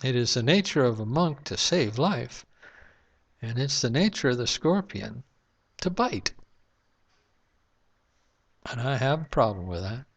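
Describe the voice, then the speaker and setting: A man's voice: mid-range voice, big pitch swings, measured pace, pauses often. A man in a casual, reflective monologue to camera at home at night, rambling with lots of fillers. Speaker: vlogger. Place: home room at night, lamp-lit.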